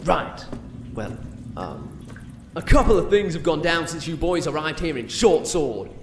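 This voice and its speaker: A man's voice: a little high, very animated. Formal detective played by a man, roomy sound. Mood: businesslike. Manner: formal, measured wording. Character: polite, formal male detective. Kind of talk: comedic fantasy audio drama. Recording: roomy echo, faint background noise.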